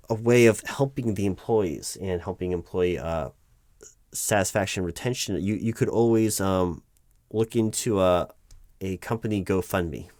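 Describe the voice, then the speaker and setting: Man playing a low-voiced, flustered corporate recruiting manager. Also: no room sound, lightly acted. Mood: earnest, halting. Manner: halting, stammering, repeating and correcting himself. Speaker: roleplay performer. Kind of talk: improvised one-sided roleplay, loud spoken ASMR.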